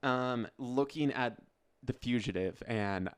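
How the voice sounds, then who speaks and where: A man's voice: mid-range voice, takes it slowly, clear, resonant tone. A man co-hosting a podcast in casual chat, informal and given to acting out imagined quotes. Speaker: podcast co-host. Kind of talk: casual chat about films.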